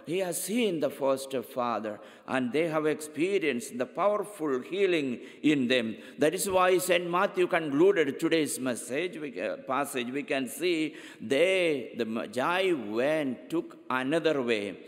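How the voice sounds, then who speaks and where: A middle-aged man preaching a homily as a priest from a church pulpit, speaking deliberately and often repeating his phrases. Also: fairly high pitch, expressive. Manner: slow, deliberate preaching with frequent repetitions. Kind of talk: sermon. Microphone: pulpit microphone, far camera. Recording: long church reverb.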